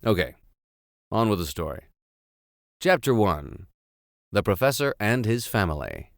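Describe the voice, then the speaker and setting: A man reading classic literature aloud in an expressive, theatrical and playful way. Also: fairly low pitch, a little slow, vocal fry, no room sound, studio quality. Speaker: audiobook reader. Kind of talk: expressive narration of classic literature with casual asides.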